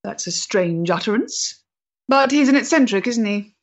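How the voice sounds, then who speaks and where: An actress playing a composed, bitter, principled Victorian freethinking woman in a stage play, speaking in a measured, formal way. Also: fairly high pitch, animated, highs cut off. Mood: composed, wry. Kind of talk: period stage-play acting.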